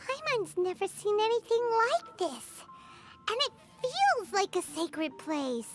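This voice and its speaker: A squeaky, chirpy little fairy. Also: female voice, sing-song, slow pace, full character voice. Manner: chirpy, blunt, talks about herself in third person. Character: tiny chirpy female fairy companion. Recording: game audio over music.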